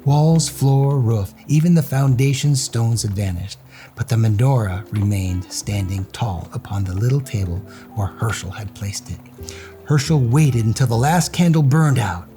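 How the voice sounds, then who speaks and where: A man's voice: medium pitch, lively intonation, normal speed, dark tone, soft background music. A man reading a children's story aloud as host, in a theatrical, suspenseful way.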